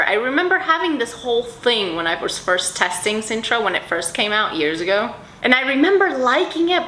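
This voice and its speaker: A woman's voice: medium pitch, bright, forceful tone. Bubbly woman gushing in an echoey room. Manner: bubbly, rambling, dreamy descriptions with many fillers. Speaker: perfume vlogger. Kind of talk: casual vlog chat. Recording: echoey room with faint ambient noise.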